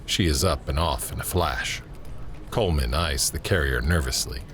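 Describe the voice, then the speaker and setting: A man narrating an audio drama in measured, descriptive scripted prose. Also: rumbling low, a bit bright, slight background noise. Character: male narrator setting scenes, measured and descriptive. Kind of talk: scripted present-tense scene narration.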